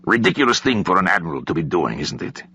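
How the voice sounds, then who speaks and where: A man performs an aging Russian-accented admiral for an audiobook. He grumbles in formal, stilted sentences, wry and exasperated, with a forceful, roughened tone. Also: sits low, sing-song, fast, dry recording, heavily acted. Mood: wry frustration.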